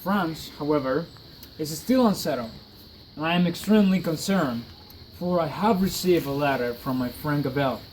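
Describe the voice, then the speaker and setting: Man acting exiled French gentleman, high-ish male voice, earnest, formal monologue, literary drama, slight background noise. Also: natural voice, poor audio. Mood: concerned, resolute. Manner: formal, deliberate storytelling. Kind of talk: scripted dramatic monologue of a classic novel. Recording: faint background noise, amateur recording.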